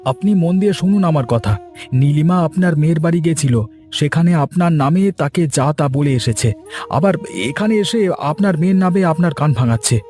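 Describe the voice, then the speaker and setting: Loyal village servant for a cartoon acted by a man: high voice, earnest, over light music. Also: lively intonation, normal speed, mellow, close to an everyday voice. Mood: earnest pleading. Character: loyal, earnest male servant warning his master. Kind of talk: Bengali cartoon dubbing.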